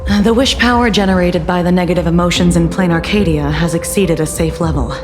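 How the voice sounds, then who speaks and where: A woman playing a composed sci-fi game character who explains things in a measured, formal way. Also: on the low side, natural voice. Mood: calm, informative. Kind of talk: video game voice acting. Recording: reverberant hall, music behind.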